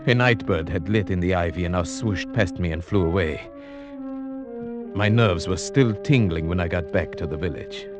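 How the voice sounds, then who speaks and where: A man with a very deep voice narrates an old-time radio thriller in a measured, suspenseful manner. Clear music plays behind him on the vintage recording. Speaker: narrator and lead actor. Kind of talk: 1940s radio drama narration.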